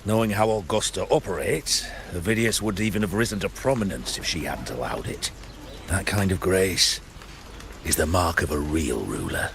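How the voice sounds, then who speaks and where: An actor playing a seasoned, steady, wry hunter in a video game, with a matter-of-fact, emphatic delivery. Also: male voice, low voice, moderate pace, gravelly, slight background noise, full character voice. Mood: wry, measured.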